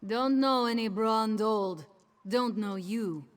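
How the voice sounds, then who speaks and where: A woman's voice: on the high side, slow pace. A woman voicing a rude, brusque dice gambler in a fantasy game tavern. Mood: irritated, dismissive. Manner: brusque, dismissive. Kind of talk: fantasy video game character acting.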